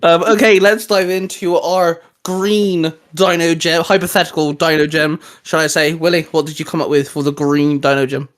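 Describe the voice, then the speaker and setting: Male host for a casual geek chat, slightly high voice, rambling and hesitant delivery. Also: flat delivery, normal speed, few pauses, fairly bright tone. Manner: hesitant, rambling, repeats himself. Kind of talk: casual fan discussion chat.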